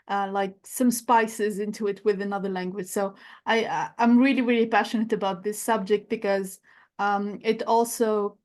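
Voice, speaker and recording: A woman with a fairly high voice who grew up speaking Arabic. She talks reflectively in an interview and often pauses to find her words. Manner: reflective, searching for words with fillers. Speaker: guest coach. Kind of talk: podcast interview.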